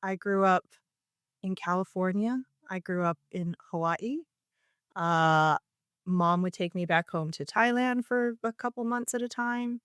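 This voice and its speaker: A woman's voice: medium pitch, unhurried, a bit bright, clear, resonant tone, no room sound, clean studio sound. A woman guest on an interview show, telling candid, self-deprecating stories and laughing often in casual conversation. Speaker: podcast guest, actor.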